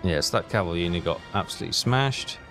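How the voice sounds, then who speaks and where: A man's voice: low voice, animated, quick pace, music in the background. A man hosting a gaming video, giving casual commentary and thinking aloud in a chatty way as he plays.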